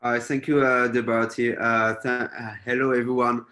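A man's voice: medium pitch, flat delivery, bright, forceful tone. French man presenting to a webinar. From France. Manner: halting, many fillers and restarts. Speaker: webinar guest speaker. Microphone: video call.

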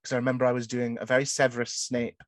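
A man's voice: mid-range voice, monotone, no echo. A young man in his twenties hosting a podcast, chatting candidly with many stops, restarts and swear words. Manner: swears a lot, halting restarts. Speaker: podcast host. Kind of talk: candid casual chat.

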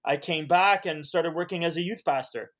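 Earnest Canadian-raised man, Hungarian first language, evangelist guest, slightly high voice, halting restarts. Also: fairly bright tone. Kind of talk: podcast interview.

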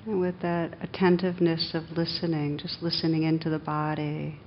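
A woman meditation teacher leading a guided meditation in a gentle, unhurried manner with long pauses, on a dull recording. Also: little pitch movement. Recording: dull, muffled sound with faint rumbling noise.

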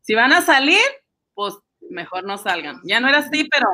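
A woman with a Mexican accent and a bright, forward voice on the higher side. She chats playfully and informally on a live stream. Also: very animated, normal speed. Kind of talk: casual chat on a live stream.

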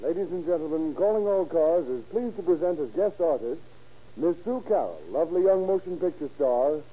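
An American man announcing and pitching a sponsor in a formal, emphatic 1930s radio style. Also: lively intonation, moderate pace, mellow. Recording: dull vintage radio recording with music.

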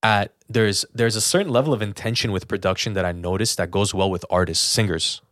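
A man's voice: lowish voice, a little fast, a bit bright, dry recording, studio quality. A man co-hosting a podcast, chatting casually and earnestly, informal and given to repeating himself for emphasis. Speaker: podcast co-host.

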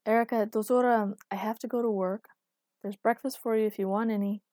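A woman's voice: mid-range voice, fairly even intonation, fairly quick, a little mellow, no room sound, studio quality, pitched above the performer's own voice, lightly acted. A woman voicing an exasperated, bossy boyfriend in audiobook dialogue, firm, nagging and long-suffering. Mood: patient, chiding. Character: exasperated bossy responsible boyfriend, a working man.

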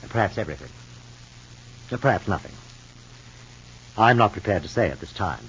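An actor playing a guarded, bitter husband in an old radio soap opera, speaking in a measured, formal way. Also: male voice, low voice, expressive, a bit bright, a little hiss or hum. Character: guarded, bitter husband, coolly suspicious of his wife. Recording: muffled, lo-fi old radio recording.

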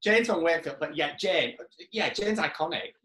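A man's voice: a little high, moderate pace, bright, forceful tone. Chatty Yorkshire man in an echoey room. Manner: self-deprecating, chatty. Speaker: drag performer and guest. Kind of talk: casual chat.

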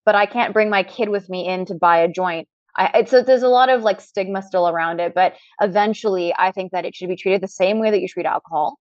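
A woman's voice: mid-range voice, brisk, hardly any pauses, dry recording, muffled recording. A woman from Trinidad, raised in Canada, chatting as a cannabis scientist guest on a podcast, full of casual fillers.

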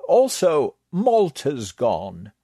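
A man's voice: a little high, wildly swooping pitch, extremely slow, mellow, breathy edge, no room sound, pitched above the performer's own voice, full character voice. A man voicing an unflappable alien male librarian in a borrowed body, speaking in a dry, detached way. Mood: deadpan.

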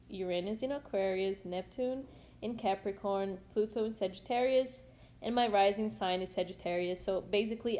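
A woman's voice: mid-range voice, a little mellow. A woman in her twenties talking casually with a friend in a playful, laughing way. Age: 20s. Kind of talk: casual chat between friends.

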